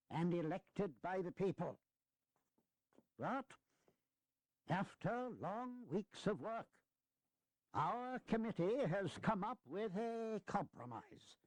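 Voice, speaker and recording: Slow, high-voiced elderly statesman. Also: darkish tone, dry recording, exaggerated voice, rough recording. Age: older. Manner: deliberate, emphatic, rhetorical. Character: elderly American statesman, wry and earnest orator. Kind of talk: dramatized historical oratory.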